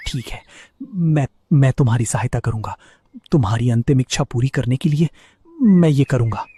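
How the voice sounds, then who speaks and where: A man voicing an honest, timid hyena with a hesitant, worried manner in an animated moral story. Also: medium pitch, moderate pace, breathy edge, no room sound, clean studio sound. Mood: reluctant.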